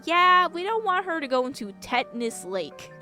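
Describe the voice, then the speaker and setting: A woman's voice: very high voice, big pitch swings, normal speed, sharp tone, soft background music. A woman streaming a visual novel, giving casual, playful, reactive commentary as she reads along. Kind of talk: casual gameplay commentary and read-aloud.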